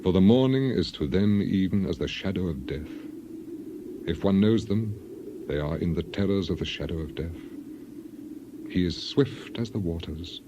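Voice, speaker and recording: A man acts out a devout, suffering ancient man pleading his case in a Bible drama. The voice is low and the delivery grave and declamatory, with archaic phrasing. Noticeable ambient noise runs behind it. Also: lively intonation, normal speed. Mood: grave indictment. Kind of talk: dramatized scripture reading.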